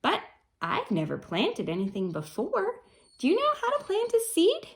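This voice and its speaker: A woman's voice: very high-pitched, huge pitch swings, moderate pace, voice pushed up, full character voice. A woman voicing a curious, eager cat from a children's picture book, earnest and full of questions.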